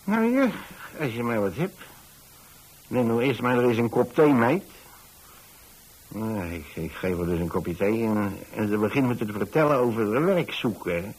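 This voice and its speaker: A man's voice: lowish voice, lively intonation, normal speed, darkish tone, husky, low-quality recording. An actor playing a kindly retired landlord, an older man telling a story in a hesitant, folksy, conversational way for radio drama. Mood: gently concerned. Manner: hesitant, folksy, chatty, with small asides. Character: kindly retired landlord telling about his young lodger.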